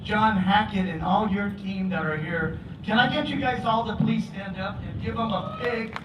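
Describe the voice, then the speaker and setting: A man's voice: high voice, moderate pace. A man in his fifties who grew up in Vietnam and speaks Vietnamese as his first language, giving an earnest, grateful speech at a memorial ceremony. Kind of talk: prepared public speech. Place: aboard a museum ship, outdoors. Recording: echoey, distant, dull PA sound, noisy.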